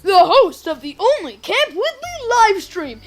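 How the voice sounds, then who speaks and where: A woman playing an outgoing, warm-hearted preteen boy with casual kid talk in a children's audio drama. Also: very high-pitched, big pitch swings, measured pace, very noisy, exaggerated voice. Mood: excited.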